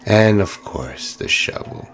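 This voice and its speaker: A hoarse man giving casual, musing let's-play commentary with plenty of fillers while he plays. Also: fairly low pitch, expressive, slow pace, a bit bright, slight background noise. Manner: musing, chatty, many fillers. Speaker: game streamer.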